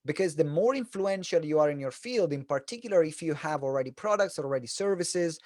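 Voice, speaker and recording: A man's voice: a little high, animated, a little fast, darkish tone, no room sound, studio quality. A man from Italy with an Italian accent gives an informal, joking talk to an online audience, telling stories as a business coach. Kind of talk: animated presentation to an online audience. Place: online event.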